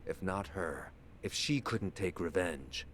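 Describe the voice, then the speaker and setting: An actor playing a bitter, vengeful son of a crime family in a game cutscene, measured and contemptuous. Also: male voice, lively intonation, fairly bright tone, full character voice. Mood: vengeful anger. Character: bitter, vengeful, cold-hearted son of a ruined yakuza family. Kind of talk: dramatic video game cutscene monologue. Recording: rumbling background noise.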